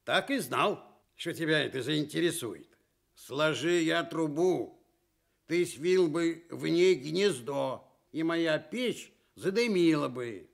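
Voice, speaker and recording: A man's voice: on the high side, expressive, vocal fry, pitched above the performer's own voice, exaggerated voice. A man voicing a stubborn, self-important big male bear in a radio fairy tale, speaking without hurry and with evident self-satisfaction. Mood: smug, teasing. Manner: self-important, smug, unhurried.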